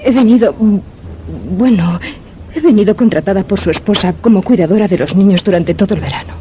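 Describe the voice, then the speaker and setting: An actress playing a frightened but firm young governess in a suspense radio drama, earnest and formally polite. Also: female voice, mid-range voice, fairly quick, warm tone, lo-fi sound, slight background noise, close to an everyday voice. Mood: flustered, insistent. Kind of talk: Spanish-language suspense radio drama acting.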